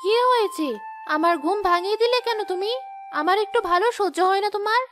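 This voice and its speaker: Woman voicing a very shrill, snappish she-ghost. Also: a little fast, over music. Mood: irritable, defiant. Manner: snappish, irritable, scolding. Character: greedy, sly female Bengali ghost possessing a village wife. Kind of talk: Bengali cartoon ghost story voice acting.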